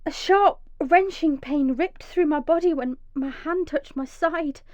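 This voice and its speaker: An actress playing a frightened, injured young mother in a radio drama, emotional and swinging from hushed dread to pleading. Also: sits high, unhurried, dry recording, lo-fi sound. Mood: haunted, dazed. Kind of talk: radio drama monologue with acted dialogue.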